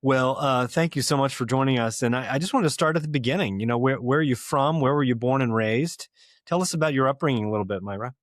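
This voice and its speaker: A man hosts a podcast interview at a middle pitch, measured and unhurried, with plenty of small fillers. The sound is dry, with no room around him. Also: dry recording. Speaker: podcast host.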